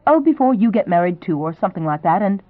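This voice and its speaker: A woman's voice: medium pitch, quick pace, no echo, lightly acted. A woman voicing a young woman who chatters eagerly and gushes. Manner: eager, gushing, lively. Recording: tinny, phone-like sound.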